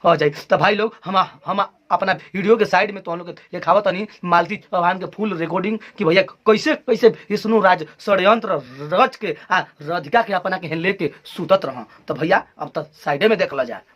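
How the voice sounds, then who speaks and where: A man's voice: high-pitched, moderate pace, hardly any pauses, a bit bright. A man with a Bhojpuri accent hosting an informal video news commentary, speaking straight to his viewers. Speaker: video channel host.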